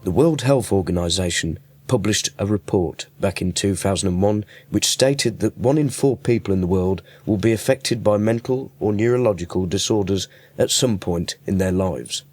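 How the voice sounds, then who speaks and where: Low-voiced radio presenter over music. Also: male voice, moderate pace, darkish tone, dry recording.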